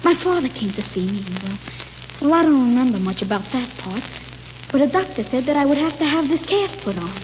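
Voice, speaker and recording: High-voiced anguished young boy. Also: very animated, fairly quick. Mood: fearful, amazed. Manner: pleading, breathless, tearful delivery. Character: anguished young boy crippled in a steel cast. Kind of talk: 1930s radio drama acting. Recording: muffled, noisy 1930s radio recording.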